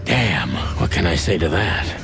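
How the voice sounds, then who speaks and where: An actor playing a weary, wisecracking rooster detective in a noir game, with a low gravelly voice and a dry, sardonic, laconic delivery. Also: male voice, expressive, unhurried, music in the background, heavily acted. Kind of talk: film-noir game voice acting.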